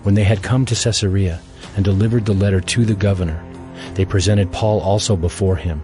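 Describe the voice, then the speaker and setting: A man's voice: lowish voice, steady tone, fairly quick, soft background music. A man narrating scripture as a scripted audio Bible reading.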